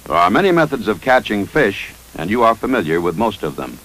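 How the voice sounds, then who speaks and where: Male narrator, American, 1950s military training film style: instructive, authoritative, measured, with animated intonation. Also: fairly low pitch, poor audio. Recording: 1955 training film soundtrack.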